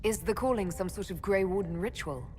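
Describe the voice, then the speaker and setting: A woman voicing a calm, diplomatic leader in a video game, composed and reasonable. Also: medium pitch, fairly quick, lightly acted. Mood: inquiring. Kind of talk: video game dialogue acting. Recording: long reverb, faint background noise.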